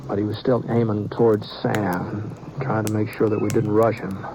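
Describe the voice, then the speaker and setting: An actor playing a drifting gunman who narrates his own story in a slow, drawling, understated way, in 1950s radio drama style. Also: lowish voice, little pitch movement, over music, close to an everyday voice, rough recording. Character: drifting male gunman, calm and plainspoken. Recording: old radio broadcast with hiss.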